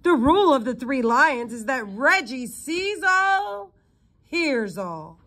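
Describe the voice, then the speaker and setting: Storybook role: imperious male hotel clerk, booming and pompous, performed by a female reader. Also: sing-song, slow pace, fairly bright tone, full character voice. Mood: grand, boastful.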